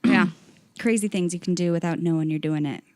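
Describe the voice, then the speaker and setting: A woman's voice: lowish voice, a little fast, darkish tone. A woman co-hosting a casual podcast, chatting and explaining with lots of "like". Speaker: podcast co-host. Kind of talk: casual chat.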